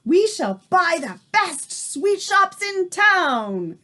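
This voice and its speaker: A woman doing a shrill chorus of witches. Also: very animated, drawn-out words, sharp tone, exaggerated voice. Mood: eager. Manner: chanting together, gleeful. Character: crowd of eager wicked female witches.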